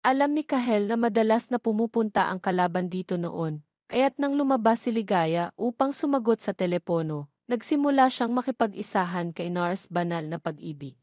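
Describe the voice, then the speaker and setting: A woman's voice: medium pitch, animated, normal speed, darkish tone, dry recording, studio quality. A woman with a Filipino accent reading a scripted narration for an audio drama love story.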